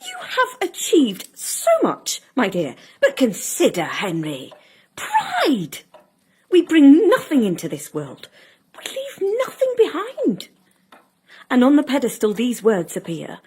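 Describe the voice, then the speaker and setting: An actress playing a pious Victorian wife who gently cautions, speaking in a solemn, poetic, theatrical audio drama manner. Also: female voice, sits high, huge pitch swings, slow pace, husky, full character voice. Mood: solemn, cautioning.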